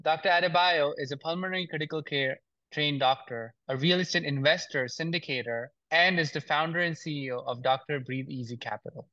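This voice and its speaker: Brief: male podcast host, bright forceful voice, dry dull lo-fi sound, encouraging interviewer. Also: a little high, a little fast, no echo. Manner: encouraging, frequent short affirmations. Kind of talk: interview hosting.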